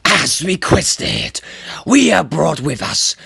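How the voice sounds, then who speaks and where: An actor playing a gruff robot leader in an audio drama, raspy and formal in manner. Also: male voice, a little high, huge pitch swings, slow pace, bright, forceful tone, no room sound, full character voice. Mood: formal, approving. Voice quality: raspy, gravelly.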